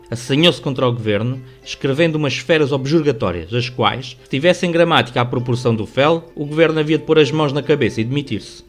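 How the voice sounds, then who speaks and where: Male narrator, European Portuguese accent, medium pitch, scripted storytelling for radio drama, faint music bed. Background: soft music.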